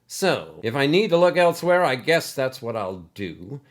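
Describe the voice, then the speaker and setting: A man's voice: medium pitch, big pitch swings, normal speed, pitched above the performer's own voice, close to an everyday voice. A man voicing a menacing older basketball coach in a storybook, ominous, sneering and taunting. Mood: threatening.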